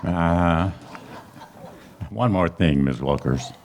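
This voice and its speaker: A man playing a terse, businesslike police detective and narrator in a radio play, deadpan, clipped and polite. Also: deep voice, drawn-out words, a little hiss or hum, heavily acted. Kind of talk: live radio play acting, police procedural. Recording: live music behind the voice.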